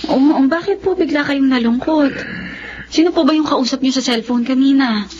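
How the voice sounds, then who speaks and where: Gentle young daughter, acted by a woman, lo-fi radio. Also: high-pitched, moderate pace, natural voice. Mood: concerned. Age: young adult. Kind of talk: Tagalog radio drama acting. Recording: dull lo-fi radio, faint noise.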